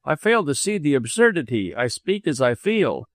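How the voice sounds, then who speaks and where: A man voicing an earnest, lovestruck gentleman doctor in an audiobook, speaking with insistence. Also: fairly high pitch, big pitch swings, moderate pace, dry recording, clean studio sound. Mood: dogged, piqued. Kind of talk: dramatized audiobook reading.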